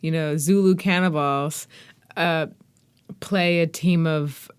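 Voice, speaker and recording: Woman hosting a relaxed interview. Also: sits low, takes it slowly, clear, resonant tone. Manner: relaxed, conversational, with "um"s. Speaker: podcast host. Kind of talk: casual interview chat.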